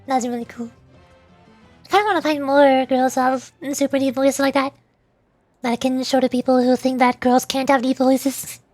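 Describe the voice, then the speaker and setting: Squeaky, very high-voiced girlish woman streamer. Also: steady tone, moderate pace, darkish tone, dry recording, faint music behind. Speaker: streamer and VTuber, voice actor. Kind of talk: casual livestream chat with viewers.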